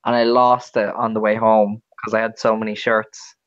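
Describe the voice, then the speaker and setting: A man's voice: on the low side, little pitch movement, moderate pace, dry recording, highs cut off. A man with a Dublin accent co-hosting a podcast in casual, sweary, self-mocking chat. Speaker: podcast co-host.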